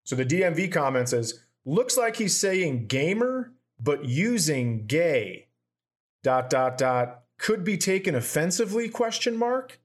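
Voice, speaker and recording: A man's voice: a little high, measured pace. Seorang pria membawakan obrolan komedi santai, membacakan kiriman dengan datar dan sering mengumpat. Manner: profane, animated storytelling with deadpan read-outs. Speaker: podcast co-host. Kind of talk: casual comedy chat, reading out items.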